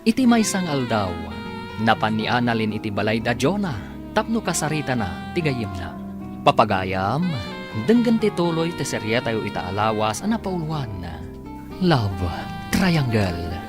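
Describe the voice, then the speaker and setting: A man's voice: mid-range voice, very animated, measured pace. A man with a Filipino accent narrating and announcing a radio serial in a dramatic scripted style. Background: guitar music bed.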